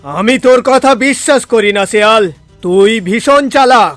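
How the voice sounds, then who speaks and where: A man's voice: sits high, normal speed, a bit bright, dry recording, faint music behind. A man voicing a bossy, menacing, impatient lion in a children's cartoon, domineering and taunting. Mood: suspicious.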